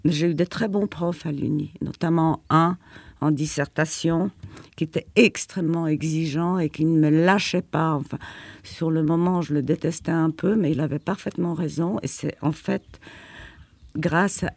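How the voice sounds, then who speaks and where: Older woman, non-native French, former journalist looking back; gravelly, halting delivery. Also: deep voice, steady tone, a little mellow, no echo. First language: not French. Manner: hesitant, many fillers and repeated words. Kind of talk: reflective interview answers.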